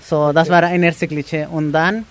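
A man with a fairly high voice answers an interview in halting, cheerful German. He is from Chile and talks casually. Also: level tone.

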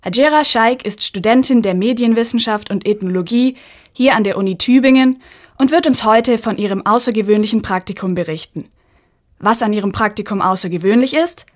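A woman hosting a student radio interview in a studio, reading a prepared introduction and then asking measured questions. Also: on the high side.

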